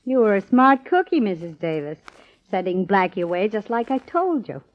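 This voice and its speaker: An actress playing a sassy, hard-boiled young gangster's moll in a 1940s radio crime drama, slangy and sneering. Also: female voice, mid-range voice, big pitch swings, moderate pace, faint music behind, close to an everyday voice. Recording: muffled old radio recording.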